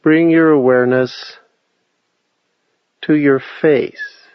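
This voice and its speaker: A man leading a guided meditation with calm, measured instruction and long pauses between phrases. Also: a little high, clean tone, no echo, muffled recording.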